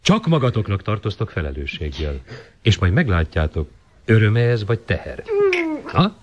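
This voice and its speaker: A man's voice: lowish voice, very animated, muffled recording. An actor playing an indulgent middle-aged father in a Hungarian radio drama, speaking with teasing mock formality. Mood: playfully formal. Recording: noisy 1980 radio play recording.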